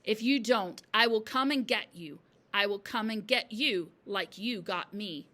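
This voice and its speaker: A woman voicing a commanding, menacing male ghost from a storybook in slow, emphatic words. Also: mid-range voice, pushed and bright.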